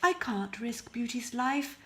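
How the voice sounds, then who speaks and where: A woman voicing a timid, gentle father in a fairy tale, speaking in a pleading, breathless manner. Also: on the high side, expressive, slow, background noise, voice pushed up. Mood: anguished. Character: rich father, timid, gentle, apologetic man.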